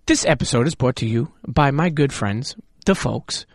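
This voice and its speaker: A man's voice: medium pitch, expressive, moderate pace, no room sound, studio quality. A man hosting a podcast, doing a playful, loose ad read for his show. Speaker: podcast host. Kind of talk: casual live ad read.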